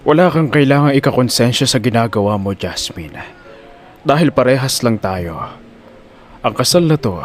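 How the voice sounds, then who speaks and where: A man playing an elderly wealthy don in an audio drama, speaking in a measured, authoritative, frank way. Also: medium pitch, a little hiss or hum, pitched below the performer's own voice, lightly acted.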